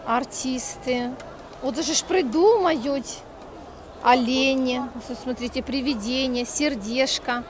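A woman's voice: sits high, slow pace. A woman vlogging as she walks through an outdoor market, chatting to viewers and haggling with sellers in accented Turkish, filmed on a phone with an earphone mic. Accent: Russian-speaking, speaks Turkish with a foreign accent. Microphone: phone camera with earphone mic. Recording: busy market crowd and noise.

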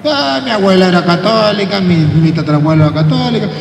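A middle-aged man, a priest, preaching an animated sermon into a handheld mic. He acts out quotes and swings from soft to shouting. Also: sits high, a little fast, noisy background. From Venezuela. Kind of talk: lively sermon. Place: large church, indoors. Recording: echoey church from a distance.

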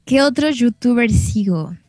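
A young woman with a Mexican accent talks casually as an interview guest. Her voice sits fairly high and she speaks with enthusiasm. The sound is dry, with very little room in it. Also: animated, unhurried, a little mellow, no echo.

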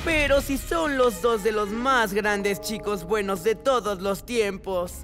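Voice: mid-range voice, takes it slowly, music in the background, natural voice. A performer voicing a petulant, scheming boy mad scientist in a children's cartoon, theatrical and whiny. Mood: gloating, petulant. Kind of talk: animated children's show dub.

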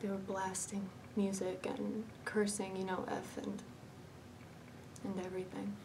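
A woman performing a dramatic monologue as a fragile, haunted young woman, speaking haltingly with hesitant pauses and repetitions. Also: medium pitch, little pitch movement, far from the mic, background noise, lightly acted. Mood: uneasy, halting.

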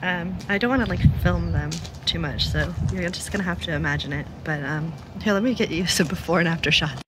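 Frazzled thirties woman, phone-at-arm's-length vlog, hoarse and chatty, noise in the background. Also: on the low side, fairly quick. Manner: chatty, frazzled, self-deprecating. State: losing her voice, sore throat. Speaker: vlogger and mother. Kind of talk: casual vlog to camera. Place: home and parked car. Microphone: phone held at arm's length.

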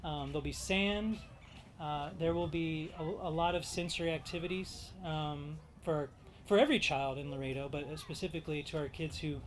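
Man in his thirties, outdoor park speech on a stand mic; halting, filler-heavy delivery. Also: on the high side, a little hiss or hum. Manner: halting, many fillers and restarts. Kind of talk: public speech. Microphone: handheld mic on stand. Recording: faint outdoor ambience.

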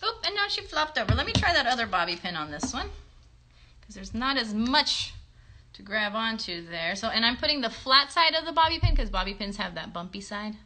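Female kids' show host, thirties, high-pitched and bright, casual and encouraging, phone livestream from home. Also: big pitch swings, a little fast, slight background noise. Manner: encouraging, questioning, talking to young viewers. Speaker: children's puppet show host. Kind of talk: casual craft demonstration for children. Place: bedroom at home. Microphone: phone at about arm's length.

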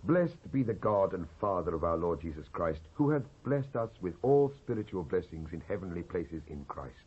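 Expressive British man reading scripture, slightly husky. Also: low-pitched, normal speed. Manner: solemn, declamatory. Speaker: Bible reader. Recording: muffled old cassette transfer.

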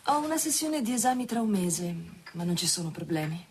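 An actress playing an earnest, determined young student daughter in love, in an Italian radio drama. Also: female voice, medium pitch, sing-song, brisk, natural voice. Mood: hesitant, earnest. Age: young adult. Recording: radio drama with clear ambient noise.

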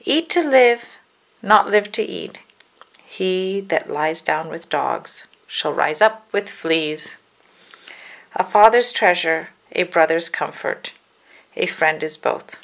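A woman reads aloud to children from a storybook. Her voice sits a little low with a creaky edge, and she phrases each line in a measured, deliberate way. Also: animated, a bit bright. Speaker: children's read-aloud narrator. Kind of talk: storybook narration.

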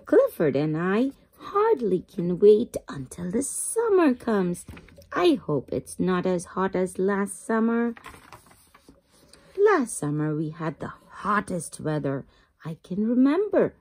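A woman reading a children's picture book aloud as a storyteller, with expressive, drawn-out emphasis for young listeners. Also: mid-range voice, slightly rough.

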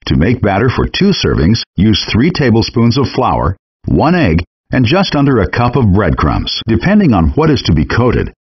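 Patient male game chef instructor, lowish husky voice, calm and measured, muffled game audio. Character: patient friendly adult male chef guide. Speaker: virtual cooking instructor. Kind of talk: scripted game voice lines.

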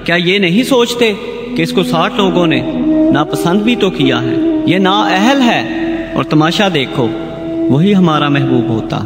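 A man's voice: sits high, animated, unhurried. A man playing an impassioned asylum escapee in a radio satire, declaiming and pleading against corrupt politics. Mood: indignant. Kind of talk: radio drama satirical oratory. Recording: echoey hall reverb, loud music behind.

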